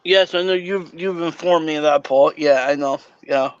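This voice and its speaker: A man's voice: on the high side, moderate pace, clean tone, no room sound, muffled recording. A man co-hosting a podcast, mostly giving short reactions and agreeing in casual chat. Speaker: podcast co-host.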